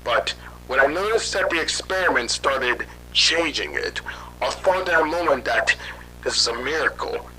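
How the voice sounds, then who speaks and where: A man playing a guilt-ridden scientist in hiding, telling his story haltingly in an amateur horror audio drama. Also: mid-range voice, expressive, background noise, lightly acted. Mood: brooding, regretful. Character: guilt-ridden fugitive scientist father recounting his failed experiment.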